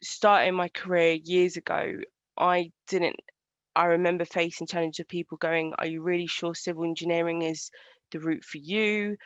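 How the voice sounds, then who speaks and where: A woman engineer giving an online presentation in a halting, earnest way, over a video call. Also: fairly low pitch, flat delivery, no room sound. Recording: dull lo-fi video call audio.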